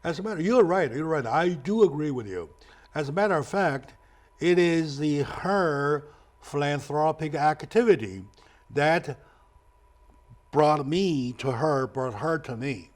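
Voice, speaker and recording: Slow-talking man hosting an interview. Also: a little high, animated. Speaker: talk show host.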